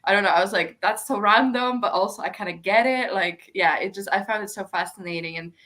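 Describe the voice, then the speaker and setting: A woman's voice: medium pitch, a little fast, fairly bright tone. A woman chatting casually and effusively about books as a co-host, with gushing, slangy talk. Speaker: book discussion co-host. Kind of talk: casual book chat.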